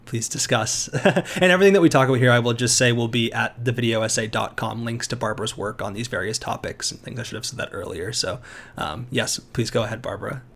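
A man's voice: medium pitch, fast talker, vocal fry, fairly bright tone. A man hosting a podcast interview in a conversational way, rephrasing as he goes.

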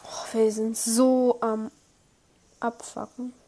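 A young woman commenting on her own online match, excitable, swinging from low muttering to high squeals. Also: slow. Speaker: gamer streamer. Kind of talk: live gameplay commentary.

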